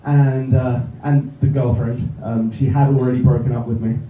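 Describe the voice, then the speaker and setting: A man's voice: mid-range voice, little pitch movement, normal speed, background noise. A young English man doing a stand-up set with dry, self-mocking asides, recorded from a distance in a comedy club. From England. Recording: roomy, distant, slightly dull club recording. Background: audience laughter.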